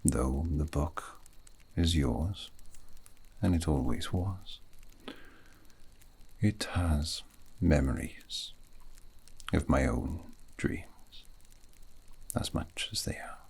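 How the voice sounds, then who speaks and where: A man voicing a wistful, scholarly elf mage in a soft, breathy, half-whispered ASMR roleplay, speaking slowly and reflectively with long pauses. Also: a bass voice, full character voice. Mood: gentle, musing. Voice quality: breathy, gravelly, often slipping into whisper.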